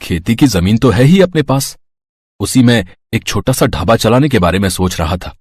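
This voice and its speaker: A man's voice: fairly low pitch, expressive, normal speed, darkish tone, slightly rough. A man playing a kindly, humble village husband and father in cartoon story dialogue. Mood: earnest, encouraging.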